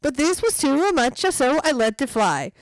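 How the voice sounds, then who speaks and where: A woman's voice: high voice, very animated, measured pace, no room sound, low-quality recording. Laughing, self-deprecating woman co-host. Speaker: podcast co-host. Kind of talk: casual chat and reading a humorous essay.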